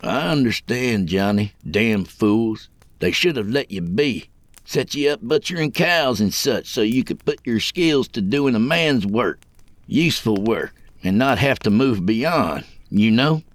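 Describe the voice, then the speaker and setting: A man voicing a calm old jailer who talks in folksy rural phrases, coaxing and conspiratorial, in a narrated story. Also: medium pitch, unhurried, dry recording, close to an everyday voice. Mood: sympathetic, sly.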